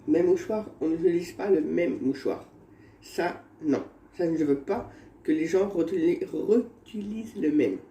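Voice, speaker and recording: A man with a cold talks his viewers through his home remedies in a rambling, halting way, circling back over the same phrases. His voice is fairly high, dark and mellow. The sound is caught on a phone in an echoey room with a faint hiss behind him. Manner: rambling, repeats himself, halting restarts. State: has a cold. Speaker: vlogger. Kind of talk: casual home how-to vlog.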